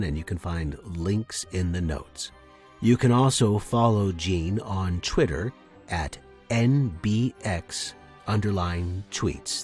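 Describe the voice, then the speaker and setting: A man's voice: low-pitched, a little mellow. A man reading scripted announcements and introductions as the host of a fiction podcast. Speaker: podcast host and story reader.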